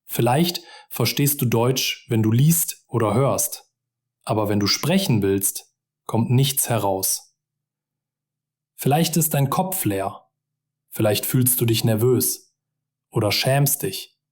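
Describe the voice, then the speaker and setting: A man's voice: mid-range voice, expressive, takes it slowly. A man narrating a simple story for German learners, speaking directly and encouragingly to the listener.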